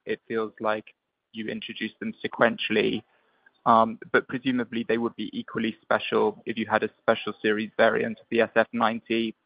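Male financial analyst, gravelly and dry, asking courteous questions over a muffled call line. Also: on the low side, no echo. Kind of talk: polite prepared questions on an earnings call. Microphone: conference call line. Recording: dull, band-limited phone line.

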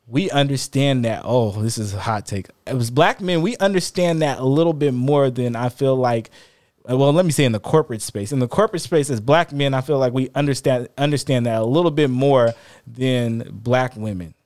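A man co-hosting a casual podcast, talking in an animated, rambling way with many restarts, his voice fairly high. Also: normal speed. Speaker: podcast co-host.

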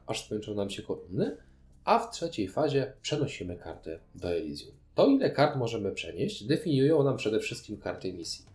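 A low-voiced man talks through tabletop games in a detailed, explanatory chat. His tone is dark and mellow. The recording is roomy and echoey. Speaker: podcast co-host. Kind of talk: board game review chat.

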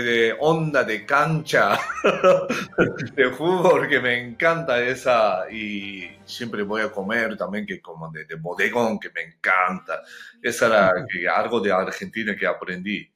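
A Japanese man speaking Spanish as a second language with a Japanese accent, talking slowly and haltingly, searching for words and laughing often as a guest in a casual interview. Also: medium pitch, sharp tone, reverberant.

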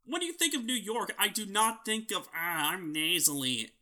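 Podcast co-host, man, very bright nasal voice, argumentative, quick to disagree. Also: high voice, lively intonation. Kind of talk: casual chat.

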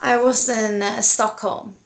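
A woman's voice: fairly high pitch, slow, creaky, slight background noise. Woman in her twenties, laughing easily. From Sweden. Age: 20s. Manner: relaxed, laughs often, many fillers. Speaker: guest, tattoo artist. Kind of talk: casual interview.

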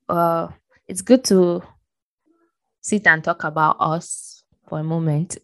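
Brief: woman podcast host, casual and chatty, low voice, dry recording. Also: no echo. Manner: chatty, laughs loudly. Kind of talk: casual chat.

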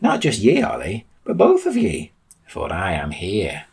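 A man's voice: low-pitched, huge pitch swings, measured pace, vocal fry, darkish tone, breathy edge, exaggerated voice. A man voicing a silly, self-important storybook cousin who speaks in a quaint archaic dialect. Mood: reassuring. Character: eccentric, self-important, silly male cousin, would-be rescuer.

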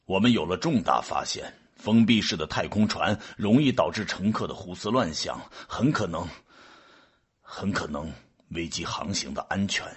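Man acting a deep, husky chief scientist. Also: animated, normal speed, heavily acted. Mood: official, pleased. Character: calm, authoritative chief scientist revealing a secret experiment. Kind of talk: radio drama acting.

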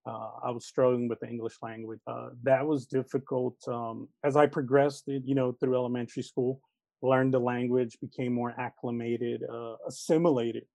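Earnest man, warm dark voice. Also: mid-range voice, level tone, normal speed, creaky, no room sound. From Texas, United States. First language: Spanish. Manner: earnest and reflective, many fillers like "uh" and "you know". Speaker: elementary school teacher, interview guest. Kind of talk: conversational interview answers.

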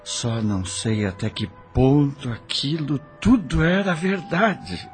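An actor with a Brazilian accent playing a dejected, lovelorn widowed brother in an emotional radio soap opera. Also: male voice, medium pitch, lively intonation, slow. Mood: hesitant, searching. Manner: emotional, theatrical delivery. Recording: music under the voice.

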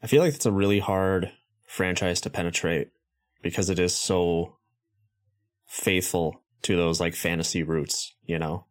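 A man with a low voice hosts a podcast. He keeps things loose and joking, with casual swearing. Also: fairly even intonation, unhurried. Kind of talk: casual chat.

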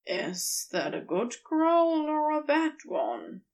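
A woman voicing a wary, wry male mage who speaks softly and guardedly. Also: high voice, very animated, slow, voice pushed up, heavily acted. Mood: muttering. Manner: muttering, soft and guarded.

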